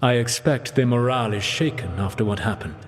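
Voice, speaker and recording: An actor playing an ancient elven god in a video game, a grave, calculating mentor and rebel leader who speaks in measured, formal, solemn phrases. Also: male voice, mid-range voice, big-room sound, close to an everyday voice. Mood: grave, counselling. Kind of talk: video game dramatic voice acting.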